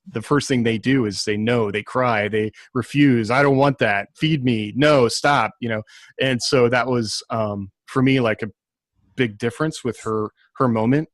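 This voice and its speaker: A man with a mid-range voice talks casually as an author on a discussion panel. He is reflective and halting, working out his thoughts as he goes. The sound is close and dry. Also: medium pitch, no echo. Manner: reflective, halting, thinking aloud.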